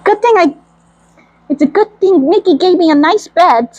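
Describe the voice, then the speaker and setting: Very high-pitched, playful woman storyteller. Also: expressive, moderate pace, darkish tone, poor audio. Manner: childlike playful role-play as a cartoon mouse girl. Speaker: storyteller in costume. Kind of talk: in-character storytime reading and chat.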